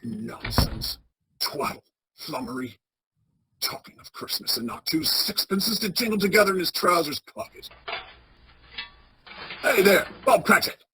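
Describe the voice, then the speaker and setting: A woman playing a bitter, miserly old male boss in a radio play, with a raspy, scornful, grumbling delivery. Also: lowish voice, sing-song, measured pace, long pauses, pushed and bright, slight background noise, heavily acted. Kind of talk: theatrical radio play acting.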